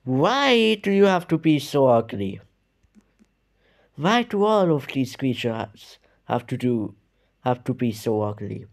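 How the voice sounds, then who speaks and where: A man reacts casually to a video in a very slow, halting way. His talk is informal and sweary. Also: mid-range voice, sing-song.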